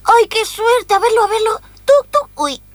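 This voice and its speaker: A woman's voice: extremely high pitch, moderate pace, no echo. A woman playing a whiny, eager, bossy little boy in improvised radio comedy, with an Argentine accent. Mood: excited, bossy. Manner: pleading, excitable, childish. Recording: loud background noise.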